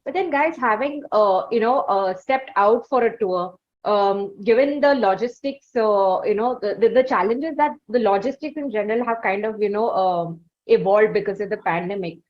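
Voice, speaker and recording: A woman's voice: medium pitch, normal speed, few pauses. Indian-accented woman interviewer, dull lo-fi audio. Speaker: interviewer and podcast host. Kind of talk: conversational interview.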